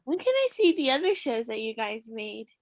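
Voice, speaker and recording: A woman's voice: a little high, big pitch swings, a little fast, dry recording. A woman actor chatting casually, eager and blunt in how she talks. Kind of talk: casual chat.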